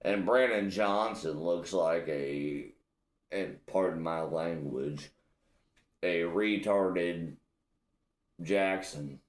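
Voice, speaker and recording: A man's voice: deep voice, extremely slow, roomy sound. A young man from Illinois hosting a solo podcast, ranting bluntly with lots of profanity. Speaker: podcast host. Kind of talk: casual solo podcast rant.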